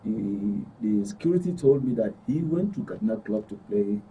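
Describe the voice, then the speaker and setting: A man in his fifties with a Northern Nigerian accent, telling a personal story haltingly and repeating phrases. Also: on the low side, lively intonation, mellow, husky, muffled recording. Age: 50s. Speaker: panel guest. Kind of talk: personal storytelling in a studio discussion. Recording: distant mic with faint noise.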